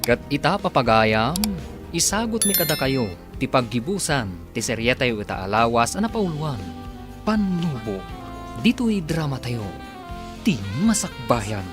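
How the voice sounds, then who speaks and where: A male radio drama announcer with an Ilocano accent delivers scripted lines in a very animated, swooping voice. Prominent guitar music plays behind him. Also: medium pitch, measured pace.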